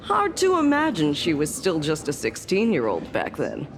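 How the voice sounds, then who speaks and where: A woman's voice: low-pitched, huge pitch swings, normal speed, background noise, exaggerated voice. A woman playing a sardonic, world-weary fake hospital doctor in a video game, with a wry, storytelling delivery. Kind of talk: animated video game voice acting. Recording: music behind the voice.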